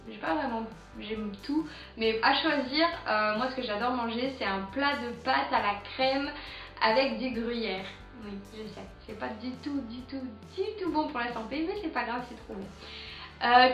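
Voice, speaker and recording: A woman's voice: a little high, fairly bright tone. Hesitant young French woman, roomy and distant. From France. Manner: chatty, many hesitant "euh" fillers. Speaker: vlogger. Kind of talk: casual Q&A tag video. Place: home in England. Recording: roomy, distant, dull sound with music.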